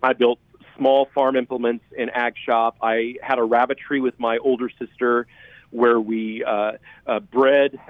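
American priest on a phone line. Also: male voice, medium pitch, little pitch movement, measured pace, dry recording. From California, United States. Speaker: priest, interview guest. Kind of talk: conversational radio interview. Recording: narrow phone-line sound.

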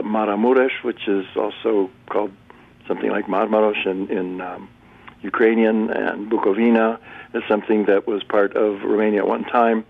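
Man, low voice, gravelly, thoughtful and halting, tinny phone line, dry sound. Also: dry recording. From United States. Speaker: bishop, radio guest. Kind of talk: reflective radio interview.

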